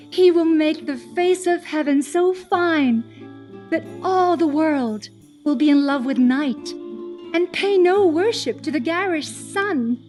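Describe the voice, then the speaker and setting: A woman performing a theatrical Shakespearean monologue as a lovestruck, impatient young heroine, proud and bold, with declamatory, drawn-out phrasing. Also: high voice, darkish tone.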